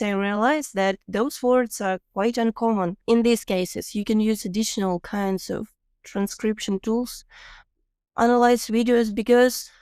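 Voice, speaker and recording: Ukrainian-accented woman with a smooth voice. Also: on the high side, darkish tone, no room sound, studio quality. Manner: earnest, explanatory, laughs easily. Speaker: podcast host. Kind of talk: casual podcast interview.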